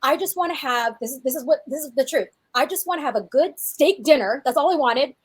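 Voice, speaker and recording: High-voiced, chatty woman in her forties. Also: a little fast. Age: 40s. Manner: chatty and animated, with frequent restarts. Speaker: fitness coach and podcast co-host. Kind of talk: casual podcast chat.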